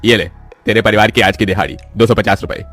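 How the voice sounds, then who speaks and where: Casting: male actor as gruff, authoritative landowner for a cartoon tale; lowish pitch, quick delivery. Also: steady tone, fairly bright tone, faint music behind, natural voice. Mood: dismissive. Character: gruff, commanding landowner and rich patriarch. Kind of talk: dramatised Hindi moral cartoon story.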